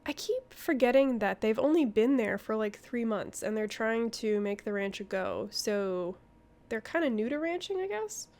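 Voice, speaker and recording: A woman's voice: fairly high pitch, animated, normal speed, darkish tone. A woman giving casual playthrough commentary, chatty and thinking aloud as she hosts. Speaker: gaming video host. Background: game music.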